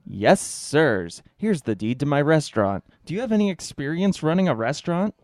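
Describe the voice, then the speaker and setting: A man's voice: on the high side, sing-song, normal speed, a little mellow, dry recording, clean studio sound. A man playing a gullible, put-upon restaurant owner with earnest delivery in a satirical radio drama. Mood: naively won over.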